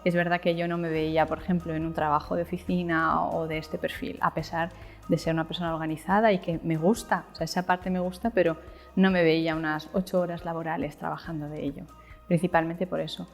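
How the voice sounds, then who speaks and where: Thirty-year-old Spanish woman, lowish, reverberant voice. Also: little pitch movement, fairly quick, soft background music. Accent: Spain. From Valencia, Spain. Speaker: interview guest, physiotherapist. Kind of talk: casual chat, explaining personal experience. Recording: echoey room with long reverb.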